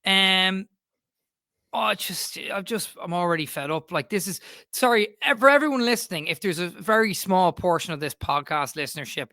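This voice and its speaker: Male podcast co-host, high and bright, lively jokey ribbing, online call. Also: moderate pace, no room sound, clean studio sound. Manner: animated, mock-indignant teasing. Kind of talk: casual chat.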